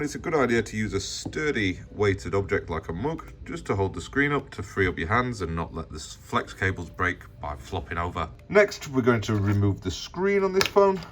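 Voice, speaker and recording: Low-pitched man giving instructions. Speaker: repair tutorial host. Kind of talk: step-by-step instructional narration.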